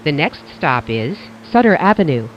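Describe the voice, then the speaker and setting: A train announcer reading scripted automated station announcements in a measured, formulaic way. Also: medium pitch, sing-song, dull audio, slight background noise.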